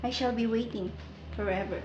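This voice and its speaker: A woman's voice: extremely high pitch, measured pace, distant mic, lo-fi sound, background noise. An actress playing a distraught young woman sliding into madness, with a theatrical, declamatory delivery in an echoey room. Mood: hushed, fading. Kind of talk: melodramatic stage acting.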